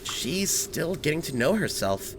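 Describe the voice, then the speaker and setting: An earnest young robot boy, voiced by a woman low in her register for a cartoon comic dub. He is good-natured and boyish, with lively swings of tone over faint background noise. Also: unhurried. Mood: awkward. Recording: faint noise, ambience behind.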